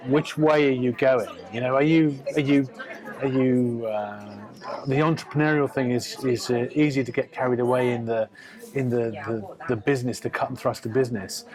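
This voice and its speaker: A man reflecting aloud as a client in conversation, haltingly and with repeated phrases. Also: mid-range voice, rough recording. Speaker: client of the reading, author.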